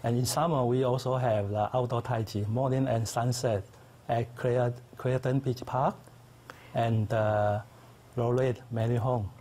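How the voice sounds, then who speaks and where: A middle-aged man whose first language is Chinese, speaking as a guest in a TV studio interview with earnest, simple phrasing and thoughtful pauses. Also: medium pitch, steady tone, dark tone, slight background noise. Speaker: interview guest, tai chi teacher. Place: local TV studio set.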